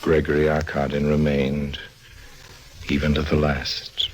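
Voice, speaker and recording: An actor playing a world-weary, wary adventurer who tells his tale deliberately and dramatically in a 1950s radio noir. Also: a bass voice, monotone. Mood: brooding, reflective.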